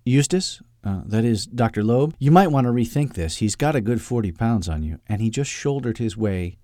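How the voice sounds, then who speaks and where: A smooth, calculating male lawyer from a comic audiobook, voiced by a male narrator. He sounds measured and pleased with himself, coaxing with varied intonation. The sound is dry and close. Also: medium pitch, expressive, mellow, dry recording, close to an everyday voice. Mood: reasoning. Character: calculating, smooth-talking male lawyer, unflappable and self-satisfied.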